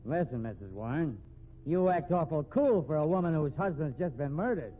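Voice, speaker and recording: An actor playing a suspicious, slangy police detective in a 1950s radio mystery, blunt and needling with streetwise talk. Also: male voice, on the high side, expressive, moderate pace, natural voice. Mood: sardonic, accusing. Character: brash, suspicious, slangy male police detective grilling suspects. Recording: tinny, noisy old radio recording.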